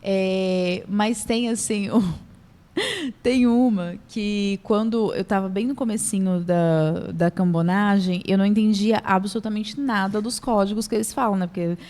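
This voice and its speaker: A Brazilian woman hosting a casual podcast chat, laughing often and talking in an animated, chatty way. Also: medium pitch, unhurried. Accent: Brazilian Portuguese. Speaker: podcast host.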